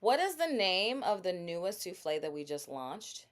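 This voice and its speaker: A woman's voice: on the low side, big pitch swings, moderate pace. A woman hosting a casual live sales stream, talking directly to her viewers and quizzing them on her products. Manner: chatty, addresses viewers directly, asks quiz questions. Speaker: livestream host selling bath products.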